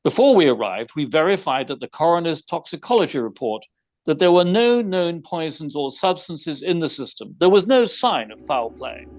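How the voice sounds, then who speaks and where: A man playing a shrewd, unruffled gentleman detective in an audio theater mystery, speaking precisely with formal, wry phrasing. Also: fairly high pitch, big pitch swings, moderate pace. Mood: formal, assured.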